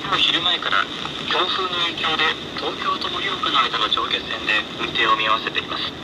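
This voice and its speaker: A man's voice: fairly high pitch, racing. A man formally reading radio news, received over shortwave. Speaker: news announcer. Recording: shortwave radio pickup, loud static noise.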